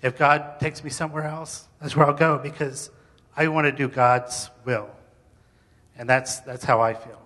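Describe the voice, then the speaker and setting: A man telling a personal story of faith to an audience in an informal, halting, earnest way. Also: medium pitch, steady tone, pushed and bright. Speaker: speaker sharing faith story. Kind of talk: personal testimony told to an audience. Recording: hall reverb.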